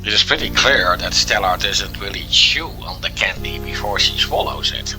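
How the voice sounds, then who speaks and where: A man with a Dutch accent, a native Dutch speaker, casually commentating on a game he plays, relaxed and hesitant with fillers. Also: lowish voice, normal speed, music in the background. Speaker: let's play commentator.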